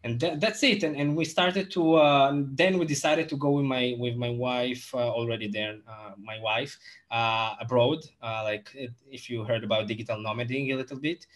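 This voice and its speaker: A man's voice: medium pitch, fairly even intonation, moderate pace. A young man from Moldova, a guest entrepreneur, talking casually on a video call in a home office with many fillers and restarts.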